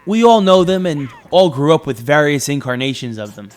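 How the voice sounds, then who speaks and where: Higher-voiced man reviewing games. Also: animated, brisk. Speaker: video game reviewer. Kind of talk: scripted video review narration. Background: background music.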